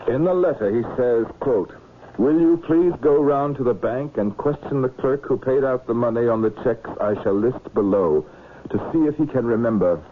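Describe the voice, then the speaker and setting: An actor playing a composed murder investigator, asking measured, formal and insistent questions in a vintage radio drama. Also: male voice, medium pitch, close to an everyday voice. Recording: muffled, noisy old radio recording.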